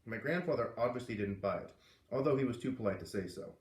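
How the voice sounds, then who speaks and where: Man reading a children's book aloud. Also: fast. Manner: lively, voices characters with big pitch jumps. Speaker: reader reading aloud to kids. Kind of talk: children's book read-aloud with character voices. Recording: roomy, distant from the mic.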